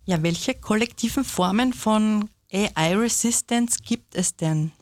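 A woman moderating a group discussion and asking measured questions. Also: mid-range voice, darkish tone.

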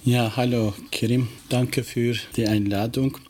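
A middle-aged man from the former Yugoslavia with an Albanian accent, a teacher answering interview questions in halting, non-native phrasing. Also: medium pitch, fairly even intonation, dark tone, slight background noise. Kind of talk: interview answers in a second language.

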